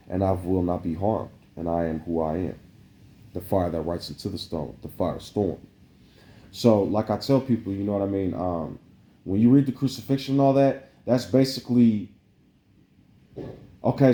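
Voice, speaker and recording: Low, dark-voiced man rambling in an echoey room. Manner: meandering, preachy, full of "like" fillers. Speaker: vlogger. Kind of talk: rambling spiritual monologue. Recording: roomy echo.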